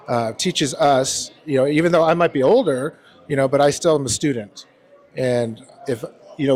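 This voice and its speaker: A man in his fifties from California, a bodybuilding competitor, answering interview questions in a measured way with many pauses. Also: medium pitch, darkish tone. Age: 50s. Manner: measured, hesitant, many "um" and "you know". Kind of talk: casual interview.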